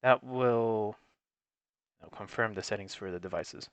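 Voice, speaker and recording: A man with a fairly low voice narrates a hands-on technical tutorial. He talks in a plain, instructional way, working through each step unscripted. The sound is dry, with very little room around it. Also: unhurried, no echo. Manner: matter-of-fact, instructional. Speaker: technical support presenter.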